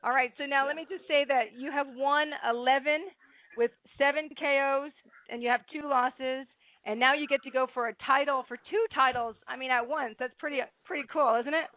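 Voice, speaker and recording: A high-voiced woman hosts a radio interview in an upbeat, chatty way. The sound is dry and narrow, like a phone line. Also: fairly even intonation, moderate pace, no echo. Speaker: host.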